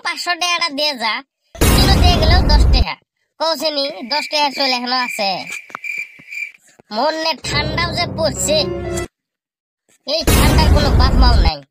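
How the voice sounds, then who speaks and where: High-pitched whiny cartoon grandson. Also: male voice, heavy background noise. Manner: complaining, slangy, mouthy. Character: whiny, cheeky broke young grandson, single and grumbling. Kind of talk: amateur comic cartoon dubbing. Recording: loud music behind the voice.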